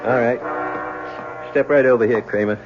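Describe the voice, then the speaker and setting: An actor playing a bossy, curt prison guard in an old radio drama. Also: male voice, mid-range voice, steady tone, moderate pace, over loud music, natural voice. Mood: stern. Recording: muffled old radio recording.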